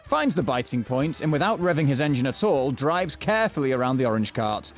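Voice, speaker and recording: A man narrating a mock-thriller audio drama from a script, telling it in a florid, deadpan way. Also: medium pitch, brisk, soft background music.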